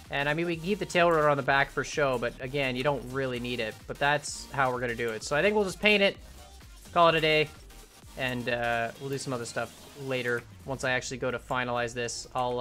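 A young man in his twenties chatting casually as he builds during a live game stream, rambling and thinking aloud, his voice starting to go hoarse. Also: medium pitch, bright, forceful tone. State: voice going hoarse. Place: home room at a computer.

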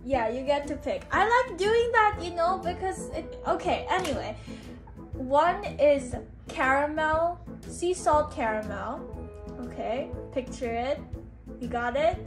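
A woman's voice: high voice, expressive, takes it slowly. A young woman vlogger chatting casually. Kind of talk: casual chat. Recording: music behind the voice. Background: background music.

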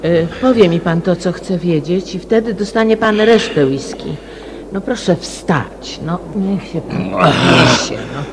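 A patient woman investigator in a radio play. Her voice is slightly low and very measured in pace, gently coaxing and probing, over clearly audible background ambience. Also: noisy background. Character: calm, persistent, kindly woman amateur sleuth. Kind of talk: radio drama acting.

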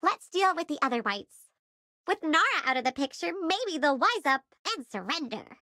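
A small, sensible game sidekick. Her voice is high and lively, recorded dry. She sounds cute, earnest and polite. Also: normal speed, no echo, full character voice. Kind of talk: video game voice acting.

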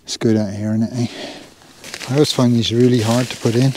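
A man's voice: mid-range voice, steady tone, normal speed, darkish tone, a little hiss or hum. An English man in his sixties with an English accent, chatting casually and wryly to camera as an outdoor vlogger, on a clip-on mic out on an open hilltop. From England. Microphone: clip-on lapel mic.

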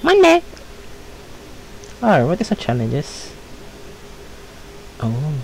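Slow-talking playful gamer. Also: mid-range voice, wildly swooping pitch, creaky, darkish tone, a little hiss or hum, poor audio. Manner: playful, reactive, fragmented remarks. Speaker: gaming video host. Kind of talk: casual let's-play commentary. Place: home room, no studio. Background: game music.

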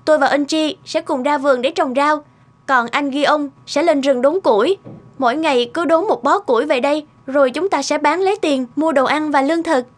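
A woman's voice: high voice, moderate pace, close to an everyday voice. A woman voicing a poor, earnest, polite young village girl in a cartoon folk tale. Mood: confident, polite.

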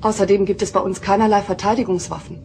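A woman's voice: mid-range voice, fairly even intonation, fast, darkish tone, a little hiss or hum, lightly acted. An actress playing a formal, grave female leader of a planet in a sci-fi audio drama, speaking in a stately manner.

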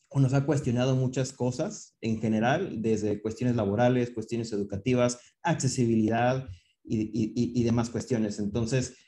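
A man's voice: medium pitch, dark tone. A man of twenty-seven, speaking thoughtfully as a webinar panelist on a video call, often restarting words. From northern Mexico. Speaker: panelist, founder of a youth organization. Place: indoor office.

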